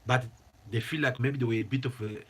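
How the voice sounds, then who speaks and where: A husky, slightly breathy man delivers an opinionated vlog commentary. English is not his first language, and he talks with fervent emphasis, often saying a line twice to drive the point home. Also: mid-range voice, steady tone, fast, a bit bright. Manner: passionate, emphatic, repeats phrases for stress. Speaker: channel host, commentator.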